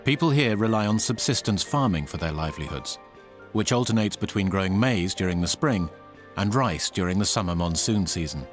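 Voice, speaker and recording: A man's voice: sits low, animated, fairly quick, hardly any pauses, faint music behind. A man reading scripted documentary narration.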